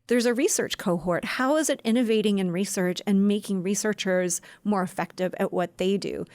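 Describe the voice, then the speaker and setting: A woman's voice: mid-range voice, no echo. A woman co-hosting a casual podcast, upbeat and organized as she talks eagerly. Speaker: podcast co-host.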